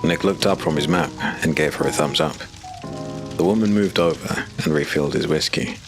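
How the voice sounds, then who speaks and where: A man giving measured, ominous scripted narration for a dark fantasy audiobook. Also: very deep voice, over music. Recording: clear background hiss.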